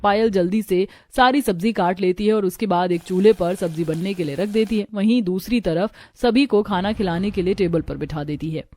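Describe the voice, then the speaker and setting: A woman's voice: mid-range voice, a little fast, few pauses, darkish tone. A woman narrating a scripted moral story as a storyteller.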